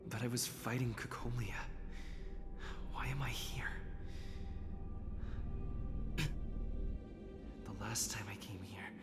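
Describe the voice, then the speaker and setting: A man's voice: medium pitch, slow pace, long pauses, lightly acted. A man playing a brash young male adventurer hero in a video game. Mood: hushed, bewildered. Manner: brash, swinging from shouts to hushed awe. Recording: loud music over the dialogue.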